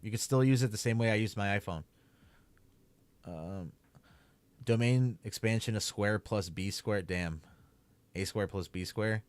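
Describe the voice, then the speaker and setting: A man's voice: on the low side, a little slow, pauses often. A young man with a Chicago accent chatting casually to his stream while gaming, rambling with lots of "like" and "man". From Chicago area. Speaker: streamer and YouTuber.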